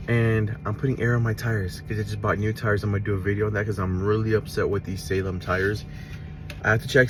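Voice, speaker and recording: A man's voice: lowish voice, noisy background. A man with a Mexican Spanish accent vlogging casually at a public charger, rambling and self-deprecating. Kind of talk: casual vlog to camera. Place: outdoors at a public car charger.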